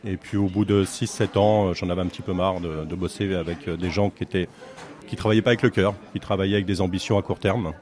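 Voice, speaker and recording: Man, middle-aged, café owner, low voice, hesitant, casual interview. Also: fairly even intonation, fast, a little mellow. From France. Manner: hesitant, many fillers and restarts.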